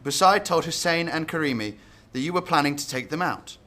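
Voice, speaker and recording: A man's voice: fairly high pitch, expressive, brisk, bright, forceful tone. Blunt, sardonic security chief, played by a man. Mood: scornful. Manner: blunt, sarcastic. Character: sardonic male British security service chief, blunt and scornful. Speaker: actor in a radio drama. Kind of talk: radio drama dialogue.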